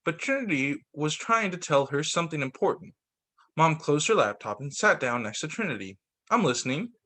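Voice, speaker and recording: A man reading a children's picture book aloud like a teacher at story time, expressive, with the odd stumble. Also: fairly high pitch, normal speed. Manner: expressive, voices the dialogue with slight shifts; stumbles and corrects himself while reading.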